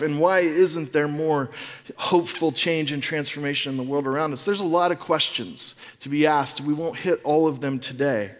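A middle-aged man preaching as a pastor in a conversational, reflective sermon style, informal and often posing rhetorical questions. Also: a little high. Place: large reverberant room, speaking to a congregation. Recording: long reverb of a large room.

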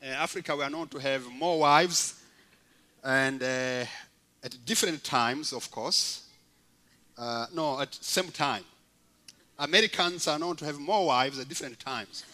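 A Rwandan man in his fifties preaches a personal testimony to a church. His voice sits a little high for a man, and he speaks haltingly, pausing on fillers and slipping in gentle jokes. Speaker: guest preacher. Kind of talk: sermon testimony.

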